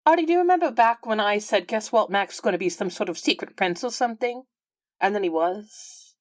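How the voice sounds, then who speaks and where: A woman's voice: medium pitch, animated, fairly quick, no room sound, clean studio sound, close to an everyday voice. A woman playing a teasing, smugly certain friend with a matter-of-fact, knowing manner in a tabletop game.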